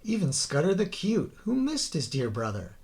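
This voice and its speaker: A man narrating a rhyming children's story in a theatrical, deliberate way, drawing out the ends of phrases. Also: on the high side, huge pitch swings, a little mellow. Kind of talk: dramatic scripted children's story narration in rhyme.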